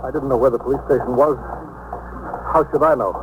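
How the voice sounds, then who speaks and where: A man playing a flustered, defensive bartender in a 1950s radio drama, speaking haltingly and nervously. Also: medium pitch, fairly even intonation, narrow, tinny audio, background noise, lightly acted. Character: nervous, evasive bartender, flustered murder suspect. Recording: old 1950s radio broadcast recording.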